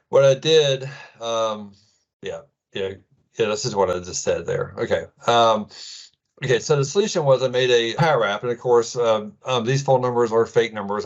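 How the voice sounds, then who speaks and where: A middle-aged man with an American accent giving an informal tech presentation in a casual, halting way full of ums. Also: lowish voice, lo-fi sound. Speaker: presenter, IT administrator.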